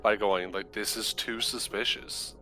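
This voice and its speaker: Low, bright male tabletop gamer. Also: steady tone, measured pace, faint music behind. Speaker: tabletop game player. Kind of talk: casual chat at a tabletop game.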